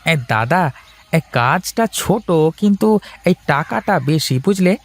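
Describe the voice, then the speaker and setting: A man's voice: a little high, expressive, unhurried. A man voicing a respectful, persuasive younger friend in a cartoon story, explaining things in a coaxing way. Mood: persuading. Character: deferential, persuasive male friend in town with business ideas.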